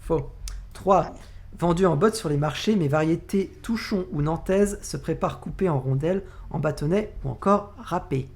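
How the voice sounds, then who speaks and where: A man's voice: on the high side, moderate pace. A man with a French accent hosting an online community quiz, reading clues aloud and counting down the points. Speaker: quiz host.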